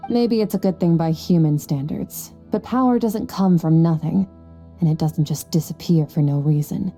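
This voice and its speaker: Dark-voiced, aloof young warrior woman. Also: low voice, normal speed, soft background music. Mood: grave, detached. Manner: curt, detached, condescending. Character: aloof, cold, powerful young female warrior. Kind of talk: video game voice acting.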